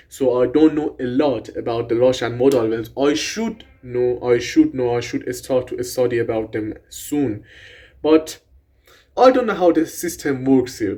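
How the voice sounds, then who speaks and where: A young man whose first language is Persian, casually explaining grammar in an excited, rambling way with restarts and swearing. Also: mid-range voice. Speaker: language vlogger.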